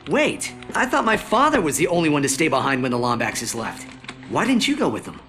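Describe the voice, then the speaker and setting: An actor playing a young alien hero in a video game, earnest, casual and full of questions. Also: male voice, fairly high pitch, huge pitch swings, fairly quick, over music. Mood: questioning. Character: young male Lombax alien hero, eager and plain-spoken. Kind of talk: video game cutscene acting.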